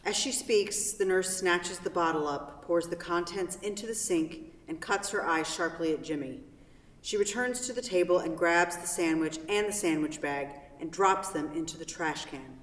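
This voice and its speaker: Lowish-voiced woman in an echoey hall. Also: normal speed. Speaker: stage-directions narrator and event host. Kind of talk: scripted narration and hosting. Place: theatre hall. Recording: very echoey hall, distant mic.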